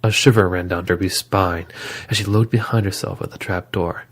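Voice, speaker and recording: A man's voice: deep voice, fairly even intonation, normal speed. A man narrating a scripted horror story as a dramatic storyteller.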